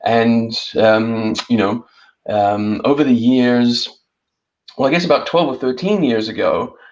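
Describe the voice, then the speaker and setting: A man with a New Zealand accent giving a reflective, halting personal account as a panel guest. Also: medium pitch, a little mellow, muffled recording.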